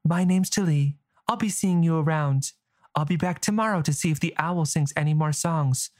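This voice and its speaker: A cheeky, fearless orphan girl, as a man puts her on in a storybook reading. her voice is lifted well above his own and runs quick and careless. she sounds breezy and unbothered. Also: fairly high pitch, darkish tone, voice pushed up, lightly acted. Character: bold mischievous orphan girl.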